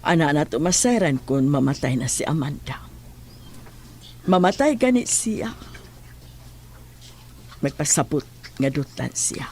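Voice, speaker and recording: A woman playing a vengeful, domineering middle-aged mother in a horror audio drama, husky and menacing, scolding and commanding. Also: deep voice, animated, measured pace, long pauses, darkish tone, slight background noise.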